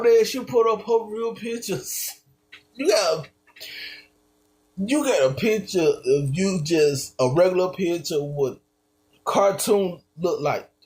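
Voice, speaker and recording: A man's voice: a little high, darkish tone. A man streaming, reacting to a video with slangy, incredulous casual commentary.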